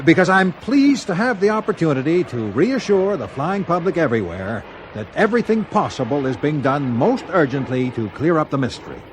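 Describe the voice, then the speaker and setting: A harried company spokesman in a radio play. His fairly high voice is animated and pompous, like salesmanship, with faint outdoor noise behind it. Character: harried, smooth-talking male aircraft company spokesman. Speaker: radio drama actor. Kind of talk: radio drama dialogue.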